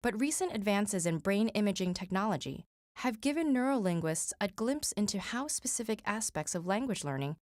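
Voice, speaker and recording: A woman giving scripted narration for an educational video in a clear, measured delivery. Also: medium pitch, expressive, darkish tone, no echo, studio quality.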